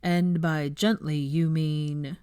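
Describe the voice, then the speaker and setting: A woman reader acts out a wary young man in an audiobook. He is soft-spoken and cautious, a suspicious friend speaking low in a dry, close recording. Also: little pitch movement, takes it slowly, smooth, no room sound. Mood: doubtful. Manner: cautious, hushed.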